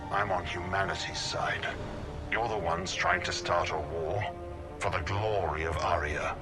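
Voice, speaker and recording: An actor playing a composed, courteous villain general in a video game, formal, measured and condescending. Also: male voice, low-pitched, very animated, vocal fry, loud music behind the voice, full character voice. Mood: smug.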